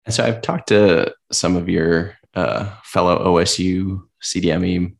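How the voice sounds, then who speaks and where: A man's voice: low voice, steady tone, unhurried, vocal fry. A man hosting a podcast, asking loose, rambling interview questions with restarts.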